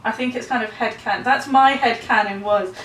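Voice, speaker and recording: A woman in a casual fan chat, eager and quick to jump in. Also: a little high, a bit bright, echoey room, recorded from a distance, a little hiss or hum, poor audio. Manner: eager, talks over others, laughs often.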